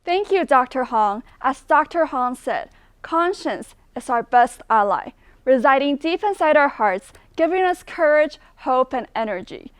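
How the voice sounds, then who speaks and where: A woman hosting the event, presenting a scripted, gentle reflection. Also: high-pitched, expressive, measured pace, dry recording. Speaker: event host.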